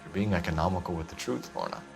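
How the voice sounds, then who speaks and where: A man's voice: low voice, fast talker, creaky, darkish tone, soft background music. An American man playing an earnest, by-the-book 1940s police detective in a video game, formal and insistent. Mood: stern, probing. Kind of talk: dramatic video game acting.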